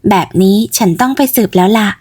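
A woman voicing a lively young flower seller in a storybook tale, inquisitive, headstrong and chirpy. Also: medium pitch, fairly quick, close to an everyday voice. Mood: curious, stubborn. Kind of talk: storybook character voice.

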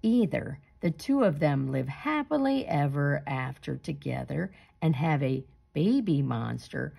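Slow, expressive low-pitched woman storyteller. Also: creaky, slightly rough. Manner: deliberate, dramatic emphasis with suspenseful pauses. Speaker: storyteller reading a picture book aloud. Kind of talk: expressive children's read-aloud.

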